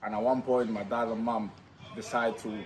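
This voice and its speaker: A man's voice: on the low side, little pitch movement, normal speed, slight background noise. Young Spanish-accented man, eager and earnest. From Spain. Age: 20s. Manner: enthusiastic, non-native English with simple phrasing. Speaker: footballer. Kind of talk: post-signing interview. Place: outdoor football stadium stands.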